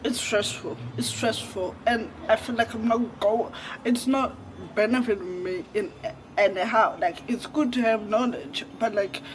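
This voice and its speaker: This is a twenty-year-old man from Zimbabwe talking to his vlog audience in a high, light voice. He rambles candidly, leaning on fillers, and takes his time over each thought. Faint crickets and insects chirp in the background. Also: fairly even intonation, unhurried, a little hiss or hum, poor audio. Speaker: vlogger. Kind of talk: casual reflective chat to camera.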